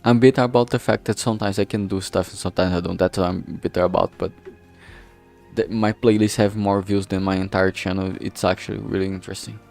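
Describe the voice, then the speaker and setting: A man chatting casually on a livestream in a rambling, halting way, swearing now and then, speaking into a filtered streaming microphone. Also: low voice, fairly even intonation, vocal fry, a little mellow. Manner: rambling, halting, often losing his thread. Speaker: streamer and amateur game writer. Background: background music.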